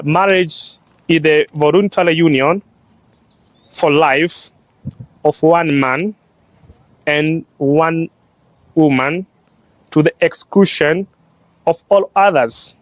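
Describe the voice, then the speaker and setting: Man from Tanzania, young adult, slow halting phrases, fairly high voice, dry close sound. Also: dry recording. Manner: slow, halting delivery in short phrases with frequent "uh". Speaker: religious brother and law student. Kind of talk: explaining church teaching on a talk show.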